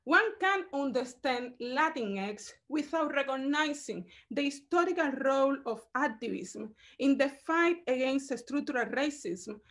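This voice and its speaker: A woman curator lectures over slides through a webcam mic. Her voice sits high, and she speaks in a measured, halting way, filling gaps with ums and uhs. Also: a bit bright. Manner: measured, halting, with frequent fillers. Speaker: curator giving a talk.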